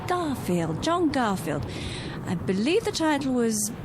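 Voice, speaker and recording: Lively, genteel lady passenger. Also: on the high side, a little slow. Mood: chatty, musing. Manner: genteel, gently inquisitive. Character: polite, composed young lady passenger aboard a freighter. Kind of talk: radio drama acting. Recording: noisy audio drama with ship ambience.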